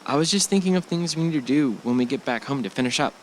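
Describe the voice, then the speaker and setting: A man's voice: medium pitch, fast talker, a little hiss or hum, natural voice, poor audio. A man acting an affectionate, reassuring young husband in an amateur audio drama, speaking in a casual, slightly hesitant way. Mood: relaxed. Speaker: actor in an amateur radio drama. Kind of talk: naturalistic audio drama dialogue.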